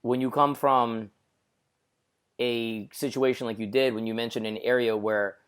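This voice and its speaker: A man's voice: medium pitch, level tone, measured pace, clear, resonant tone. A man from Jamaica hosting an interview, chatty and thinking aloud as he stacks up several questions. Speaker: interviewer host. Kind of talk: casual interview conversation.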